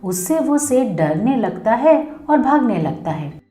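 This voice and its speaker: A woman's voice: fairly high pitch, sing-song, takes it slowly, dark tone. A woman storyteller reading a scripted children's story. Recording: roomy echo, music behind.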